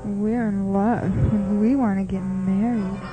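A woman as a slow-speaking, lovestruck niece. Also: medium pitch, steady tone, music in the background, close to an everyday voice, poor audio. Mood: pleading, lovestruck. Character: sweet, lovestruck young niece. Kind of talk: radio play comedy acting.

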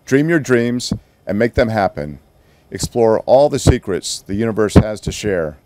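An American man voicing a proud, kindly retired female space shuttle in a gentle, encouraging storybook manner. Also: on the low side, animated, a little slow, dry recording.

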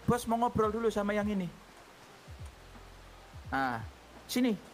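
Man, very high voice, bossy, teasing, game roleplay, faint ambience behind. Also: lively intonation, a little slow, slight background noise. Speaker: roleplay gang boss player. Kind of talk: in-character roleplay chat.

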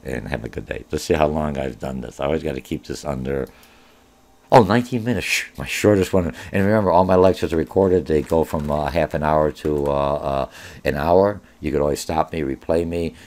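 Very low-voiced man, middle-aged, college instructor, Polish first language, chatty and rambling, webcam at home desk. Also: brisk, gravelly, darkish tone. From born in Germany. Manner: rambling, many "uh" fillers, informal and direct. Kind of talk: recorded online course orientation.

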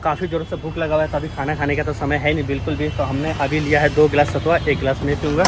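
Young man vlogging over loud outdoor noise. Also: on the high side, level tone, fast talker. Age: 20s. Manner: informal, chatty, addressing viewers as brother. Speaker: travel vlogger. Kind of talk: casual travel vlog chat. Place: outdoors at railway stations and on the road. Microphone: handheld camera at arm's length. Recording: noisy outdoor station and vehicle ambience.

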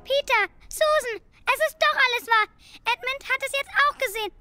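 A very high, childlike girl's voice in an audio drama. She is earnest and excitable, insisting on what she says. Music plays clearly underneath. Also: moderate pace. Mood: excited. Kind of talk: German audio drama acting.

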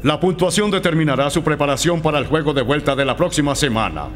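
An actor playing a stern, authoritative football coach in a cartoon, giving instructions. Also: male voice, on the high side, quick pace, soft background music, lightly acted. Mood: stern, explaining.

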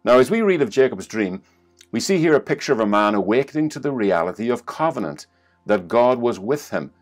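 A man's voice: mid-range voice, sing-song, brisk, soft background music. A middle-aged man with an Irish accent preaching an online sermon from his living room, reading scripture and building to emphatic exclamations, recorded on a webcam. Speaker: pastor. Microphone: webcam at desk distance.

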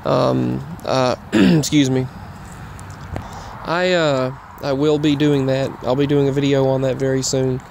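Halting man over outdoor traffic noise. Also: mid-range voice, fairly even intonation, vocal fry, darkish tone. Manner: halting, meandering, trailing off and repeating himself. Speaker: vlogger. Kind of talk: casual rambling update vlog while walking. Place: outdoors, walking in a grassy yard near roads. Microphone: earbuds microphone with a phone. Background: passing traffic.

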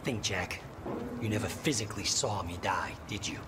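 An actor as an urgent, low-voiced male scientist. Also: normal speed, noisy background, lightly acted. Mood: insistent. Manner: urgent, explanatory, breaking into hushed whispers. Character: intense, insistent male scientist, older brother of the hero. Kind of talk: cinematic video game acting.

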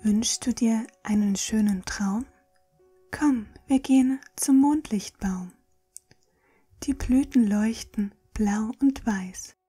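A woman narrates a bedtime poem in a breathy, gentle whisper, soothing and rhythmic, much like ASMR. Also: medium pitch. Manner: gentle, soothing, slow rhythmic verse delivery. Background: music.

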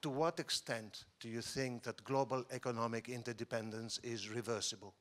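Man, mid-pitched, brisk and direct, floor question at a conference. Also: steady tone. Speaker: audience member asking a question. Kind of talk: brief audience question. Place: large conference hall.

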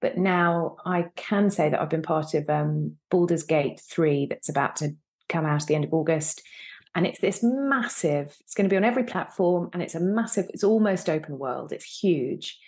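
Lower-pitched woman chatting in an interview. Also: moderate pace, a little mellow. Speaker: guest, actor. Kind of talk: casual interview conversation. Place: indoor room, on a video call.

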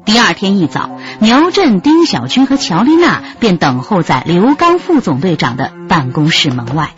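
A woman's voice: fairly low pitch, wildly swooping pitch, normal speed, highs cut off. A woman giving dramatic scripted narration for a radio drama. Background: music.

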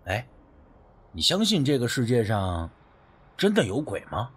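A man's voice: mid-range voice, wildly swooping pitch, unhurried, husky, voice pushed down, heavily acted. A man playing an inquisitive, irritable, skeptical friend in an audio story, asking blunt, probing questions. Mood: curious.

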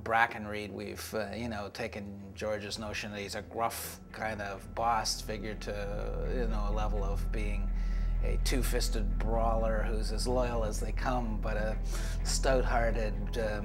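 A man in his forties with a fairly low voice gives an interview in an indoor office. Music plays clearly behind him. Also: little pitch movement, unhurried. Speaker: show creative team member. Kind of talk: interview talk about making a series. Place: indoor office with artwork on walls.

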